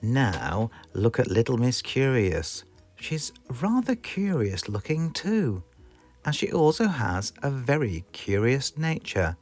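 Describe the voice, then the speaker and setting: A British narrator reading a children's story expressively, drawing the listener in. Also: mid-range voice. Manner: expressive, asks the listener questions. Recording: music playing behind the voice.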